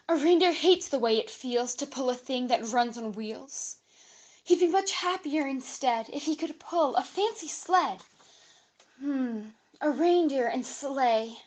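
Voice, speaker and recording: A woman with a notably high voice performs as a guest storyteller. She reads expressively, with a rhythmic storybook delivery meant for children. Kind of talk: dramatic reading of a children's story.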